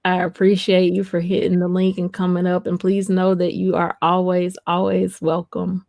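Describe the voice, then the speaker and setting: A woman's voice: fairly low pitch, monotone, moderate pace, creaky, no room sound. A woman hosting a live stream, chatting casually with her guest, thanking him and reading out viewer comments. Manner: warmly thankful, reads viewer comments aloud.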